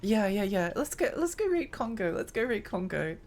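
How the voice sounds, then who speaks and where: A woman's voice: medium pitch, sing-song, normal speed, a little mellow. A woman streaming a game live, chatting in a rambling, giggly way while her voice strains and tires. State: strained, tiring voice.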